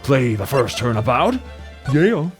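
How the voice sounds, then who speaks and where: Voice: medium pitch, sing-song, measured pace, faint music behind. A performer doing a casual let's play, reading the game aloud with playful, sweary asides. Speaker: game player reading all parts.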